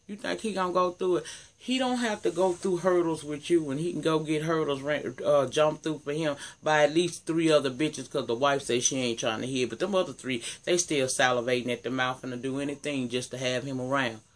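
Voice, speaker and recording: A man with a deep voice giving a casual, sassy, profane recap of a TV episode, talking straight to his viewers. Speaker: TV recap vlogger.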